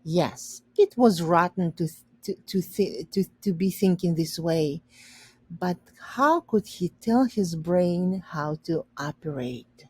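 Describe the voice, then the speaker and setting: A woman teacher reading a storybook aloud, pausing to explain and rephrase words simply for learners. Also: lowish voice, darkish tone, breathy edge, no room sound.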